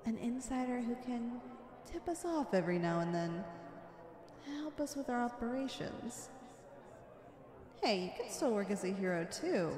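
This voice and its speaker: A woman's voice: medium pitch, darkish tone, close to an everyday voice. A woman playing a flirty, confident female supervillain in an ASMR roleplay, coy and teasing as she sweet-talks the listener. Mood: coaxing, sly. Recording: echoey with long reverb.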